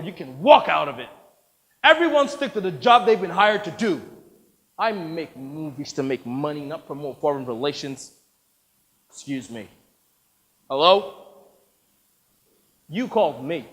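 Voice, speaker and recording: Man acting a loud, domineering film boss. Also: high-pitched. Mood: gushing, flustered. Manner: emphatic, commanding. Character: bossy, money-minded male film boss, brusque and domineering. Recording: very reverberant hall.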